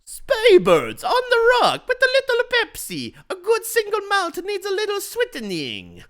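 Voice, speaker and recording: A streamer squeaking out a pushy businessman. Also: wildly swooping pitch, a bit bright, full character voice. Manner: squealing, rushed, overexcited. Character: pushy middle-aged male businessman.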